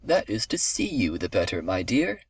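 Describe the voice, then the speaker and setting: Man as a sly, low-voiced wicked wolf. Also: very animated, moderate pace, breathy edge, no room sound, heavily acted. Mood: menacing. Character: wicked, wily great male wolf feigning politeness.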